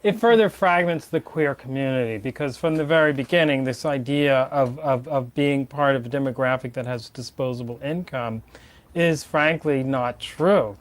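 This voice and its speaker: A man's voice: mid-range voice, normal speed. A man interviewed in an archive documentary, speaking earnestly and stumbling over repeated words. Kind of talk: interview talk.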